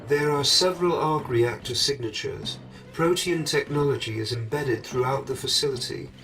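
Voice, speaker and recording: Slightly distant, with music behind it, a man voices a formal AI assistant from a video game. He reports in a calm, precise, emotionless way. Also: medium pitch, moderate pace, natural voice. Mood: calm, analytical. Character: calm, formal male artificial intelligence assistant.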